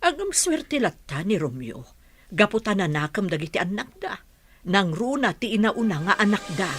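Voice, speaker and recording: A woman's voice: mid-range voice, sing-song, normal speed, a bit bright. A woman playing a sympathetic, talkative middle-aged village aunt in a radio drama. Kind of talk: Ilocano radio drama acting.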